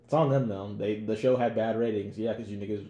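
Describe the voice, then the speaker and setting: Mellow, echoey young American man podcasting. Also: on the low side, fairly even intonation, fairly quick, lo-fi sound. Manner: slangy, blunt, profane. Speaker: podcast co-host. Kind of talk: casual opinionated podcast chat. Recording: roomy echo, background noise.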